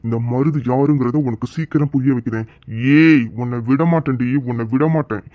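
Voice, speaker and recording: A man plays a boastful village bully who keeps making threats, speaking at an ordinary middle pitch. The recording is dry and dull, with a lo-fi edge. He sounds sure that everyone fears him. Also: brisk, no room sound, natural voice. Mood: menacing.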